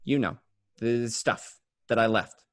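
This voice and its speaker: A man's voice: medium pitch, takes it slowly, a bit bright, no echo, clean studio sound. A man playing a desperate, paranoid small-time gangster, stammering and swearing, in an amateur script read. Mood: furious, panicked. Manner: stammering, repeating, profane.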